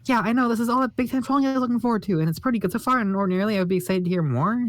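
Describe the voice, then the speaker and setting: A man's voice: high voice, racing, no room sound, close to an everyday voice. A man voicing a cheerful, naive teenage boy who asks eager, pleading questions. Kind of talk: comic read-along voice acting.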